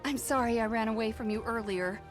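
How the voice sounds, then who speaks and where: Young bride heroine, acted by a woman, high-pitched, earnest, sweet, theatrical, fantasy game dialogue, background music. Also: a little fast, close to an everyday voice. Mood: earnest, urgent. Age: young adult. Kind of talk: dramatic video game acting.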